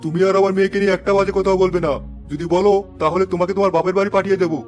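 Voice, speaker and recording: A man's voice: high voice, steady tone, very fast, soft background music, natural voice. A man voicing a caring, protective middle-aged father in a cartoon, firm with his wife.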